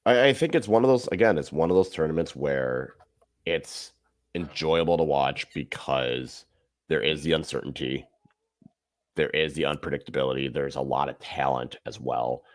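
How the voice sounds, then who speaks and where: A man appearing as a guest on a soccer podcast, talking in a thoughtful, measured way and restating his points as he goes. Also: rumbling low. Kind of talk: casual soccer podcast chat.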